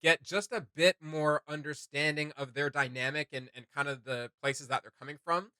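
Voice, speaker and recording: A male co-host with a sharp, very bright voice on the higher side, captured close and dry. He chats casually and animatedly, rambling through his thoughts and leaning playfully on his emphatic points. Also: steady tone, moderate pace, clean tone, no room sound. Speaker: co-host of a reaction video channel. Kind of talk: casual chatty reaction commentary.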